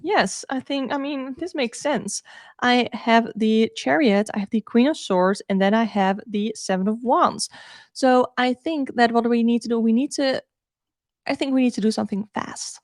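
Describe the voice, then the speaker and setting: A woman's voice: a little high, moderate pace, no echo. Dutch-accented woman streamer, dry close sound. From Netherlands. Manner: informal, thinks aloud, repeats herself. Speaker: tarot reader and live streamer. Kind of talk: casual live-stream chat.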